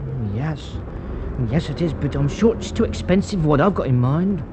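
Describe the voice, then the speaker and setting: A man's voice: fairly high pitch, expressive, normal speed, noisy background, close to an everyday voice. An actor playing a nervous, defensive working-class young man, in vintage radio drama style. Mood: hesitant, uneasy. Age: young adult. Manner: hesitant, ungrammatical, protesting. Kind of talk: Golden Age radio drama acting.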